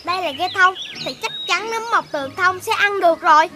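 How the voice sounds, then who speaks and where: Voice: extremely high pitch, brisk, noisy background. A performer voicing a clever, caring young puppy leader in a children's cartoon, earnest and instructive, with a southern Vietnamese accent. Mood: confident. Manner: earnest, instructive, taking charge. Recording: music behind the voice.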